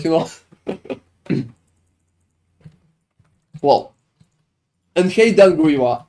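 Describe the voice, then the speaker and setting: Streamer with a French-Swedish accent. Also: male voice, on the high side, mellow. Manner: rambling, filler-heavy, chuckles, swears casually. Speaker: game streamer. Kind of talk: casual live-stream chat answering viewers.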